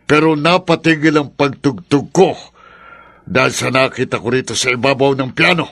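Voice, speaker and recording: A stern, suspicious old master of the house in a radio drama. His medium-pitched voice is hard and angry. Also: normal speed, vocal fry, fairly bright tone. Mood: stern, accusing.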